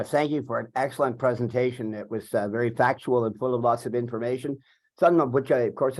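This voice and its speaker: A man in the audience asking a speaker polite, hesitant questions, with many pauses and "uh"s. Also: mid-range voice, a little mellow. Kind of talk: question and answer.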